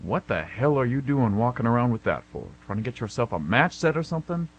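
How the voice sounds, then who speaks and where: A man plays a gruff police officer in a radio drama, impatient and dryly sarcastic. His voice is raspy and medium in pitch, with animated swings as he needles his listener. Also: fast, highs cut off. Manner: sardonic, curt, unimpressed. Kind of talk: amateur radio drama acting.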